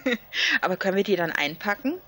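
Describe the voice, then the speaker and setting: Lively-pitched woman playing a game. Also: a little fast. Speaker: game contestant. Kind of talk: casual in-game talk, asking questions.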